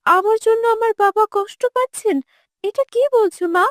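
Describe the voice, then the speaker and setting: A woman's voice: very high voice, moderate pace, fairly bright tone, no room sound, studio quality. A woman voicing a headstrong but devoted young daughter in a cartoon drama, emphatic and earnest. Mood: shocked. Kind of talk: animated moral-drama dubbing.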